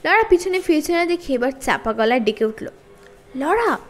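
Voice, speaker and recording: A woman reading a children's story aloud in an expressive, dramatized narrating style. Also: sits high, brisk. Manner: dramatized, acting out dialogue. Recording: faint music bed. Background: soft background music.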